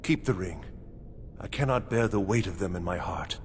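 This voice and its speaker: A man playing a meek, heartbroken farmer husband in a video game, speaking in a halting, sorrowful way. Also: deep voice, animated, creaky. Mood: grieving, resigned. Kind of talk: scripted game dialogue. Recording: reverberant, noisy game ambience.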